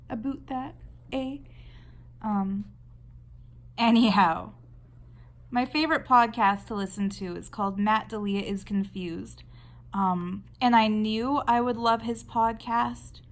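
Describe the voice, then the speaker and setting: A Canadian woman talking casually while she draws, rambling and chatty with frequent restarts. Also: fairly high pitch. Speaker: artist vlogger. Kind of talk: casual chat while drawing.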